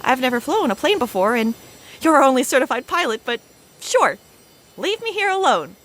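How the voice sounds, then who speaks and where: Starstruck young agent, played by a woman: bright, lively, eager delivery, faint noise, comic radio play. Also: sits high, normal speed. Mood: nervous, admiring. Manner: gushing, plucky. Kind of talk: scripted comedic audio drama acting.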